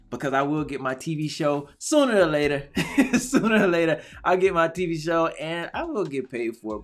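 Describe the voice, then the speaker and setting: A man hosting a casual talk show interview, chatty and hyped, repeating himself often. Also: a little high.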